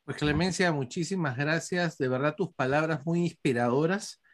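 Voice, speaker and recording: Man, podcast host, courteous, slightly high voice, video call, polite moderator. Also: moderate pace. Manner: courteous, thanking and steering the talk. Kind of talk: hosting a podcast panel.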